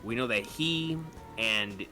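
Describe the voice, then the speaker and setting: Bright-voiced man, rambling fan talk. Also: on the low side, animated, faint music behind. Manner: enthusiastic, halting and rambling. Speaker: podcast speaker discussing manga theories. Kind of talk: casual fan discussion.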